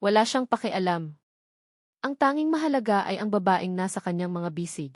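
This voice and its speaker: A woman's voice: medium pitch, moderate pace, darkish tone, studio quality. Female narrator for romantic audio drama: scripted dramatic storytelling, mid-range voice, no room echo.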